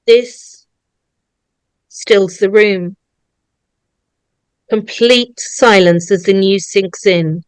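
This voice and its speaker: A woman's voice: mid-range voice, extremely slow, pauses often, smooth, no room sound. Woman narrator reading screenplay directions, dry recording. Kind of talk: reading screenplay stage directions at a table read.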